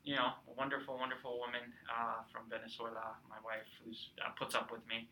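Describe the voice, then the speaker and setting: A man from Peru in his thirties, chatting as a podcast guest, telling stories warmly with lots of fillers. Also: medium pitch, little pitch movement, fairly quick, rough recording. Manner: warm storytelling with many fillers. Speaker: guest, cultural center director. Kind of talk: casual interview chat.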